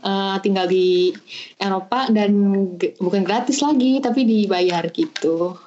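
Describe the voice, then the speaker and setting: A woman's voice: medium pitch, unhurried, darkish tone, highs cut off, slight background noise. A young woman from Bandung, a podcast guest, chatting casually and explaining things step by step with many hesitations over a remote call. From Bandung, Indonesia. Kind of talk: casual chat.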